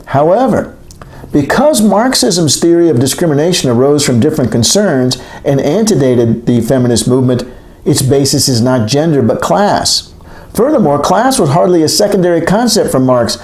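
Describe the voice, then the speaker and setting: A man reads an academic text aloud as scripted narration, in a measured, emphatic, lecturing way. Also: on the high side, expressive, darkish tone. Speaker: audiobook-style reader of an academic text. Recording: roomy, echoing voice recording.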